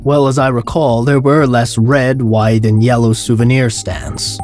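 A male actor as a calculating, scornful tycoon in an audio drama. His medium-pitched voice is articulate and pompous, talking down to his opponent over soft music. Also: little pitch movement, measured pace, close to an everyday voice. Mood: sneering. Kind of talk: audio drama acting of a TV debate.

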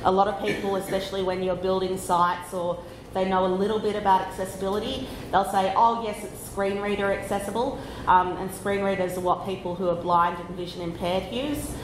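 A woman with an Australian accent giving a conference talk, loud and chatty, slowing down on purpose. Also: medium pitch, steady tone, roomy sound, slight background noise, poor audio. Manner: loud, chatty, deliberately slowed. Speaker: conference speaker. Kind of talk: conference presentation. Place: conference room.

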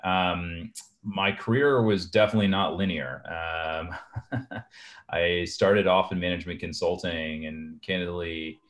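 Man, very deep voice, video call, home office, measured and candid. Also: steady tone. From Europe. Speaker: venture capital guest. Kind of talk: casual video call interview.

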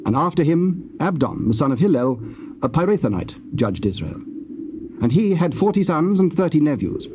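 A man's voice: on the high side, big pitch swings. Solemn male scripture narrator on muffled tape. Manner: measured, formal. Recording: muffled old cassette, faint hiss.

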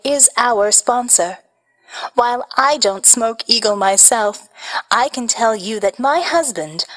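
A woman's voice: a little high, a bit bright, rough recording. A woman playing a glamorous female movie star appearing on an old-time radio show. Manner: polished, glamorous. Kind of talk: 1940s radio acting.

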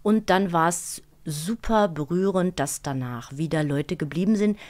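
An older woman, a voice actress, telling stories as a guest in a casual interview, with lively, emotional phrasing. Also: lowish voice, moderate pace. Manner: expressive, storytelling, emotional.

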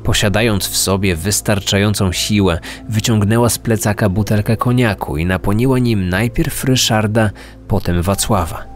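A man's voice: lowish voice, moderate pace. A man narrating a scripted true-crime podcast. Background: faint music.

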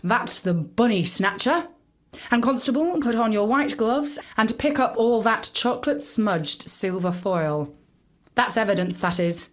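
A woman plays a stern storybook police officer who likes giving orders. The delivery is official and commanding, slow in pace, with a wide swing of pitch. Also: expressive. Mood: bossy.

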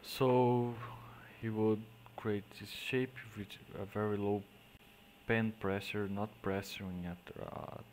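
Portuguese-accented guy, low voice, slow halting english, mutters to himself while painting. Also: level tone, slight background noise. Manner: halting, searching for words, trailing into quiet mutters while painting. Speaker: digital painting tutor. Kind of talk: screen-recorded painting tutorial.

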